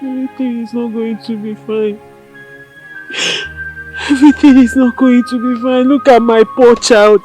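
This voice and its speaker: A woman's voice: on the high side, steady tone, normal speed, warm tone, soft background music, close to an everyday voice, rough recording. An actress playing a heartbroken young mother, crying and asking pleading questions.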